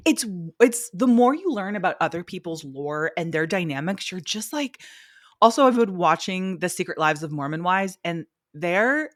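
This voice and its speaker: A young woman co-hosting a podcast in casual chat, expressive and quick to swear. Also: lowish voice, dry recording, clean studio sound. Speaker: podcast co-host.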